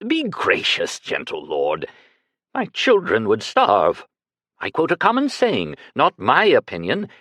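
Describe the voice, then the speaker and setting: A man voicing a nervous, fawning auctioneer who pleads and chants bids in a higher voice. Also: huge pitch swings, slow pace, sharp tone, dry recording, studio quality, exaggerated voice. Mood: pleading. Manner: pleading, wheedling, sing-song chanting.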